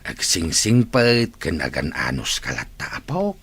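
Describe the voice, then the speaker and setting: A man playing a gentle old grandfather giving advice in an audio drama. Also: deep voice, sing-song, unhurried, husky, heavily acted. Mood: kindly, advising. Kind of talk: amateur audio drama acting.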